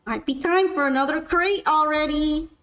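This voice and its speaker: A young woman streamer chatting casually while gaming, her voice a little raspy and worn out. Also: sits high, moderate pace, a bit bright, echoey room. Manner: chatty, rambling, upbeat sign-off. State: slightly raspy, tired voice. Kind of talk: casual gameplay stream chat.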